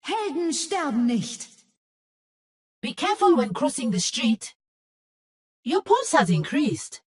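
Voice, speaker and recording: A woman's voice: sits high, big pitch swings, slow, dry recording, studio quality, heavily acted. A woman acting a caring medic with an edge in a video game. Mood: teasing concern. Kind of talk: video game hero voice lines.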